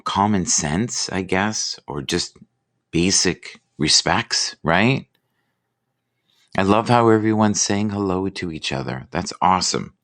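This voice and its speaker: A man hosting a casual live chat, relaxed and rambling, who reads inspirational quotes aloud slowly. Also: sits low. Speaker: livestream host.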